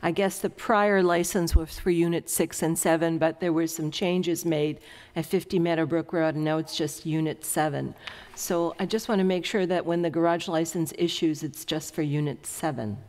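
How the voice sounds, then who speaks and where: A woman's voice: low voice, fairly even intonation, moderate pace, a little mellow, big-room sound. Middle-aged councilwoman explaining at a desk mic. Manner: careful, explanatory. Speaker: city councilor. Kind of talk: remarks at a council meeting. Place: council chamber, indoors. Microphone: desk microphone.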